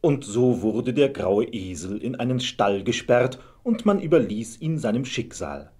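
A man with a husky voice narrating a scripted fairy tale as a storyteller. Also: mid-range voice, very animated, unhurried, mellow.

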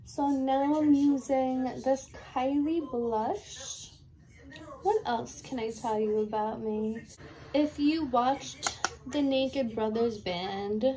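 A young woman talks in a slow, unhurried way, her voice a touch high and mellow. She sounds congested and sniffly, chatting candidly through a get-ready-with-me routine in her bedroom. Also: low-quality recording. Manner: chatty, candid, filler-heavy. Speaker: lash tech and beauty vlogger. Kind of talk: casual get-ready-with-me chat. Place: bedroom, indoors. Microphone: camera in front, close.

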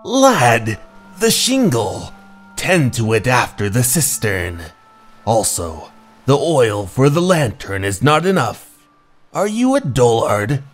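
A man voicing a gruff, domineering old lighthouse keeper who talks like an old-fashioned sailor in a horror manga story. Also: medium pitch, big pitch swings, slow pace, faint music behind, voice pushed up. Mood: commanding. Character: gruff, bossy old male lighthouse keeper, superstitious seaman.

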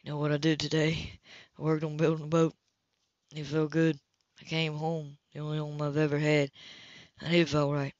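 Low-voiced, determined man in love played by a woman. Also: completely flat delivery, moderate pace, a little mellow, dry recording, dull audio, natural voice. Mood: sincere, insistent. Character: determined, blunt, smitten man in a romance.

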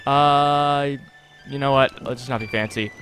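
A man's voice: medium pitch, steady tone, a little slow, a bit bright, faint music behind. A young man hosting a casual game playthrough with wry, joking, exaggerated exasperation, speaking into a close microphone. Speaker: gaming video host. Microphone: close USB desktop microphone.

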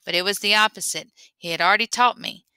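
A woman reading a memoir aloud in an expressive storytelling way. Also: mid-range voice, fast, smooth, no room sound. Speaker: reader.

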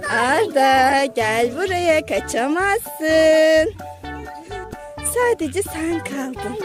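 A woman's voice: high-pitched, lively intonation, very slow, over music. A woman hosting a children's game, calling out to the players in a playful, teasing way. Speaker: children's game leader and host.

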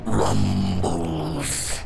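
A man voicing a grim, hungry undead zombie in a video game, with a deep, gravelly, doom-laden delivery. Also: very noisy, full character voice. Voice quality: deep gravelly growl, slow doomy delivery. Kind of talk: video game character voice lines. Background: game music.

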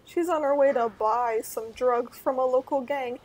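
An actress playing a chatty police operation overseer in a comedic radio play. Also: female voice, high voice, moderate pace, a little mellow, a little hiss or hum, lightly acted, rough recording.

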